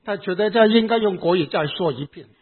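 A man with a Hong Kong accent preaches a sermon in short phrases, leaving pauses for his interpreter. His voice is somewhat high and husky. The sound is thin and phone-like. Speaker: pastor.

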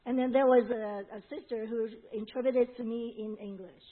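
A woman with a Hong Kong accent interprets a sermon, passing each phrase along with small fillers. Her voice is husky and somewhat high. The audio sounds thin, like a phone. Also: steady tone, narrow, tinny audio. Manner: relays each phrase quickly, with fillers and restarts.

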